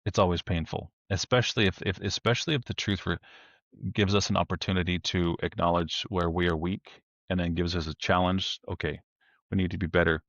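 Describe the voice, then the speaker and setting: Deep-voiced man talking candidly. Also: steady tone, a little fast, dry recording, lo-fi sound. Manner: rambling, self-correcting, uses humor; louder, forceful speaking tone. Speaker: podcast guest. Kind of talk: candid conversational talk.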